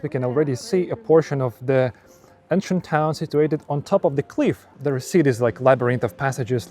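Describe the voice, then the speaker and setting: A man giving unscripted travel commentary as a vlogger, explaining what is around him and often rephrasing himself. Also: on the high side. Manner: explanatory, repeating and rephrasing phrases. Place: mostly outdoors at a tourist site.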